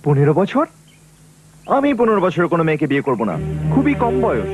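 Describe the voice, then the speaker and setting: An earnest young man in a cartoon, polite and reasoning yet firm. His voice is on the high side and lively, with music behind it. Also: fairly quick. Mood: surprised, firm. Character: principled young medical student, respectful but firm son. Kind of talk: cartoon voice acting.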